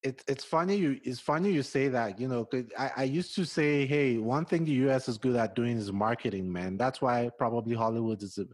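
A man from Nigeria with a Nigerian accent softened toward American, interviewing a guest in a rambling, casual way. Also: medium pitch, fairly quick, hardly any pauses, no echo. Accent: Nigerian English, partly Americanized. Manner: chatty, rambling, many fillers.

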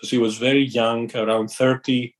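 Middle-aged man from Greece, founder and guest, measured and reflective delivery with fillers. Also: mid-range voice, flat delivery. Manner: thoughtful, measured, with fillers and restarts. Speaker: company founder and CEO, guest. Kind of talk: interview conversation.

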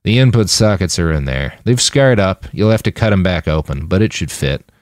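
A man's voice: low-pitched, lively intonation, moderate pace, dry recording, clean studio sound. A man voicing a reluctant, indignant male veteran soldier in an audiobook, with a blunt, challenging manner. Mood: casual. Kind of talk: audiobook character voice.

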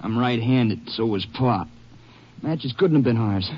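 Actor as earnest injured rancher, muffled sound. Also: male voice, mid-range voice, lively intonation, unhurried, close to an everyday voice. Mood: earnest, pained. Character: grieving, earnest young male rancher, injured in hospital. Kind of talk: 1950s radio drama acting. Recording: muffled, noisy old radio recording.

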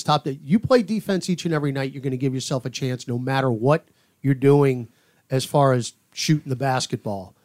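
A man's voice: mid-range voice, a little mellow, no room sound, clean studio sound. A man giving conversational sports analysis as a podcast panelist, speaking emphatically and repeating key points.

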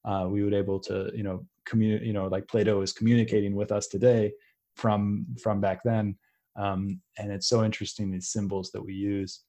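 A young man with a somewhat low voice hosts a relaxed podcast conversation. He drifts from thought to thought, restarting sentences and circling back as ideas come to him. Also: moderate pace. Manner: rambling, many restarts and tangents. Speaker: podcast host. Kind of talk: casual conversational interview.

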